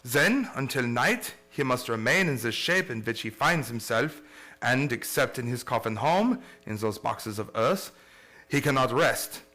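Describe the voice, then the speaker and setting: A man's voice: mid-range voice, expressive, a little slow, pushed and bright. A man playing a grave, learned professor who hunts vampires in a radio play, speaking in solemn, formal oratory. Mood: grave, resolute. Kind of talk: old-time radio play acting.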